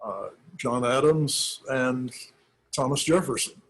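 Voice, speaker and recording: Husky, slow-speaking minister. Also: male voice, mid-range voice, rough recording. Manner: thoughtful, halting, with many pauses and fillers. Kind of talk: informal church history talk.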